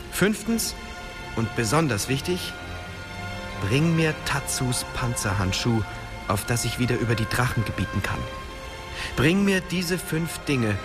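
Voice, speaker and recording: Music runs behind a mid-pitched young man's voice. A male actor plays a clever, self-assured hero in a fantasy radio drama. His delivery is confident and articulate. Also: close to an everyday voice. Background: clear music.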